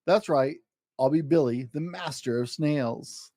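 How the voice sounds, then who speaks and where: A man's voice: fairly high pitch, a little slow, no echo. A man voicing an exuberant, boastful eight-year-old schoolboy in a theatrical, rhyming picture-book story. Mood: eager, dreamy. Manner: excitable, grandiose, theatrical.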